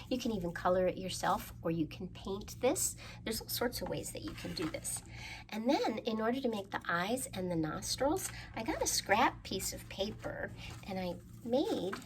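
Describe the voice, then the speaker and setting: A woman's voice: medium pitch, noisy background. A woman hosting a children's storytime, leading rhymes and crafts in a playful, encouraging manner and inviting young listeners to join in.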